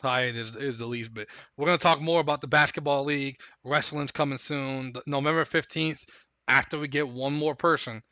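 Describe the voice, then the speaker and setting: A man hosting a live stream, chatting casually and recapping fights in a rambling, hyped way while his voice goes hoarse. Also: medium pitch, fairly even intonation, moderate pace, pushed and bright, dry recording, highs cut off. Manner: rambling, hyped, repeats himself. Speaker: stream host.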